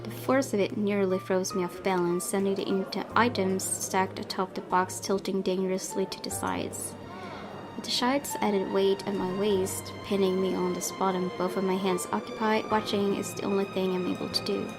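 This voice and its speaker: A woman reading a visual novel aloud as a let's play streamer, in expressive narration mixed with casual commentary. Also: mid-range voice, normal speed. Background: game music.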